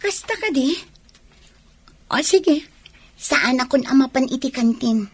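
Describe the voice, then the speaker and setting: A lovelorn young student in a Filipino radio drama. Her high voice is plaintive and torn, recorded close and dry. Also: expressive, measured pace, husky, dry recording, full character voice. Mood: affectionate, concerned.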